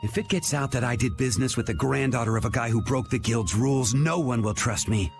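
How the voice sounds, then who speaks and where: A man's voice: medium pitch, brisk, vocal fry, music in the background, lightly acted. A man playing a scornful, threatening guild member in dramatic video game dialogue, blunt and accusing.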